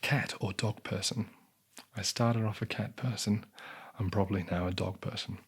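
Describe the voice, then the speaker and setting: A young New man talks softly and unhurriedly into a close mic. His lowish voice is husky and gravelly, worn raspy as if he is losing it. He keeps stopping to clear his throat between answers. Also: steady tone. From New Zealand. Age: 20s. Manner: soft-spoken, unhurried, frequent throat clearing. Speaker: ASMR channel host. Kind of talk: soft-spoken Q&A ramble.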